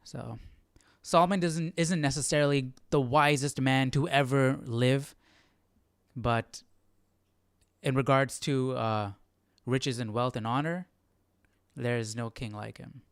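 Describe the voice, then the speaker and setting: A man gives casual commentary as a live host, feeling out his phrasing aloud. His voice is mid-pitched and smooth, recorded close and dry. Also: steady tone, slow, long pauses, dry recording.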